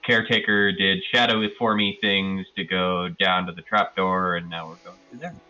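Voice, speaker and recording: A man playing an online tabletop game, recapping casually in a halting way as he searches for words. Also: low-pitched, fairly even intonation, bright, forceful tone, lo-fi sound. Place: online voice call.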